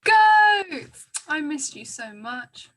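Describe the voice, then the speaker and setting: A woman's voice: sits high, sing-song, extremely slow, a bit bright, dry recording, exaggerated voice. A woman playing an eager, emotional little farm girl who loves her goats, in an amateur myth reading. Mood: overjoyed. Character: excitable, goat-loving little farm girl.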